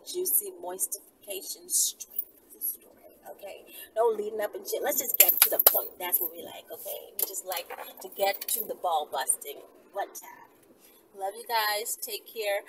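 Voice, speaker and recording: An American woman reading a story aloud as a channel host, expressive and sassy, dropping in casual asides. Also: mid-range voice, background noise.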